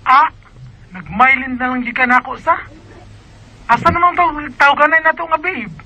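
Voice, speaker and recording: A woman's voice: sits high, normal speed, pushed and bright, low-quality recording. An actor voicing a mother in a radio drama, harsh and complaining as she scolds her children and threatens her husband. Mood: cold. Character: harsh scolding mother leaving to work abroad.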